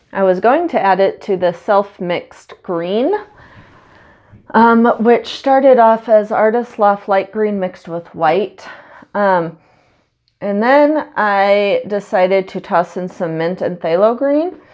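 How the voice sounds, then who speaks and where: Brief: stuffy-voiced woman with a cold, unhurried rambling craft-video chat, slightly dull recording. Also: mid-range voice, expressive, darkish tone. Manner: chatty and rambling, many ums. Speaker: acrylic pour artist. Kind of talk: casual how-to narration while painting. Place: home studio, indoors.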